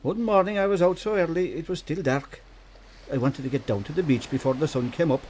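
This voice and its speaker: Man acting high-voiced old Irish island storyteller. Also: brisk, a little mellow, a little hiss or hum, natural voice, rough recording. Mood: animated storytelling. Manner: folksy, teasing, vivid narrator. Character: genial old island storyteller, male, spinning yarns for children. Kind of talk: radio drama storytelling.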